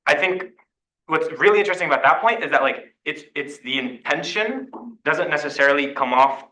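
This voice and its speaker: A man's voice: a little high, lively intonation, brisk, sharp tone, reverberant, rough recording. A young man leading a student meeting discussion, casual and full of fillers and restarts. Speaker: student senate discussion leader. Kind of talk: facilitating a group discussion.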